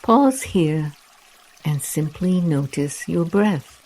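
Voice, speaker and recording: Guided meditation narrator: soft and soothing, very slow pace with long pauses, mellow tone. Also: medium pitch, animated, low-quality recording.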